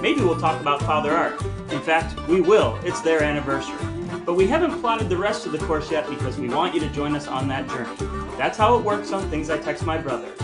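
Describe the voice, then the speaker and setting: Higher-voiced American man hosting in a roomy space. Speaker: podcast host. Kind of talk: casual podcast hosting. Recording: roomy sound with music.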